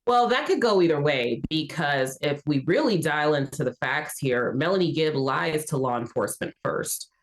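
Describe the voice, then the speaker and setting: A woman's voice: deep voice, lively intonation, moderate pace, few pauses. A woman giving assertive, articulate legal commentary as an analyst on a panel. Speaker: legal analyst, defense perspective.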